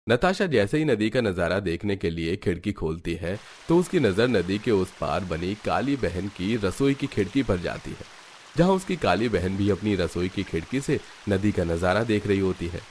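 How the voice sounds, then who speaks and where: Man, low voice, narrator, scripted cartoon storytelling, faint noise behind. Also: lively intonation, normal speed, darkish tone.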